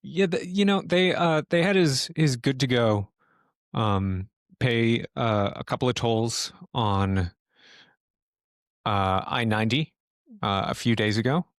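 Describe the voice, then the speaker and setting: Male game master, mid-pitched, casual and sweary, thinking aloud in a dry recording. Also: no room sound. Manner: halting, profane. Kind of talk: improvised tabletop game narration and casual chat.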